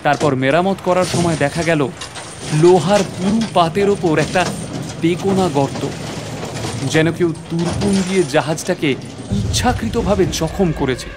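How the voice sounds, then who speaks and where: একজন পুরুষ অভিনেতা প্রাকৃতিক ইতিহাসের অধ্যাপকের চরিত্রে নাটকীয়, রহস্যময় ভঙ্গিতে নিজের অভিযানের গল্প বলছেন।. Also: male voice, fairly high pitch, unhurried, background noise, natural voice. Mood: grave, suspenseful. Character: male natural history professor recounting his adventure. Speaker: narrator. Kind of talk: dramatic scripted story narration.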